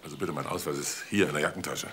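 A man's voice: rumbling low, fairly even intonation, very fast, fairly bright tone, slight background noise. A man playing a wry, persistent police detective in a crime drama, dry, polite and probing. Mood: dry, insistent. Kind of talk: German TV crime drama acting.

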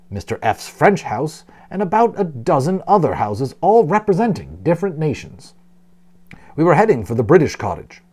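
A male storyteller reads with dramatic expression and very lively pitch movement. His tone is mellow and unforced, suited to telling a story aloud to listeners of all ages. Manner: dramatic, with brief explanatory asides. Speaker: audiobook reader, storyteller.

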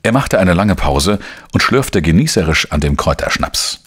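Very deep-voiced, dogged male sleuth. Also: lively intonation, normal speed, a bit bright, breathy edge, full character voice. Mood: reflective. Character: dogged, wry male journalist-sleuth narrating his own case. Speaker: lead actor and first-person narrator. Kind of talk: German crime audio drama, narration and dialogue.

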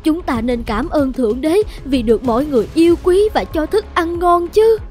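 A woman playing a gentle, anxious, devoted middle-aged mother in an animated fairy tale, with a coaxing, worried manner. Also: sits high, normal speed, soft background music. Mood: hurt, pleading.

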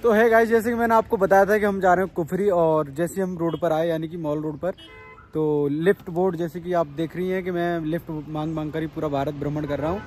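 A young man in his twenties vlogging by phone at arm's length, chatting excitedly to viewers outdoors and in moving cars. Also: fairly high pitch, brisk, music in the background. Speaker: travel vlogger. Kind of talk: casual travel vlog to camera. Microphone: phone held at arm's length. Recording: outdoor street noise. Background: traffic and wind noise.

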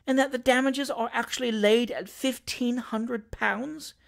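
A man voicing a wronged, genteel gentleman in an audiobook, formal and earnest with wounded dignity. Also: very high voice, fairly even intonation, measured pace, gravelly, pitched above the performer's own voice, heavily acted. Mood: pained, incredulous.

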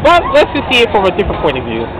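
A young man giving casual, halting commentary full of interjections while riding a fairground ride outdoors. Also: high voice, huge pitch swings, music in the background, rough recording. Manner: halting, interjection-heavy reacting. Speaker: amusement ride vlogger. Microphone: handheld camera at arm's length. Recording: loud fairground crowd and ride machinery noise.

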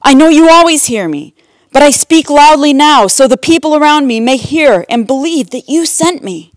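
A woman voicing a calm, reassuring, solemn male religious teacher in a storybook Bible tale. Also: fairly high pitch, normal speed, dry recording, lightly acted. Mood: commanding.

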